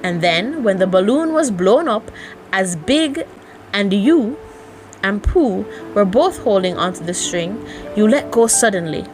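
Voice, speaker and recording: A woman's voice: medium pitch, animated, a little slow, a little mellow, soft background music, poor audio. A woman narrating a children's bedtime story as an amateur audiobook reader.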